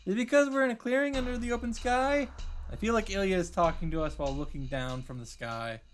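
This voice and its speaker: A man's voice: high-pitched, fast, slight background noise. A man reading visual novel narration aloud as the host of a read-through, with casual reactions. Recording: music behind the voice. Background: game music.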